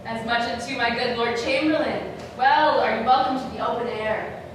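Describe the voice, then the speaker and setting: Woman doing scheming bitter shakespeare villain, theatrical and halting, super echoey noisy room. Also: a little high, fairly even intonation, lightly acted. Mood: feigned concern. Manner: dramatic, halting delivery with weighted pauses. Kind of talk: theatrical Shakespearean staged reading. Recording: very echoey noisy hall, distant mic.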